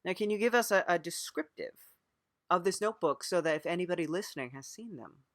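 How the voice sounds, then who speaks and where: A podcast interviewer with a mid-pitched voice, recorded close and dry. The questions come earnestly and haltingly, with murmured acknowledgements, as part of an improvised mock interview. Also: animated, fairly bright tone, no room sound.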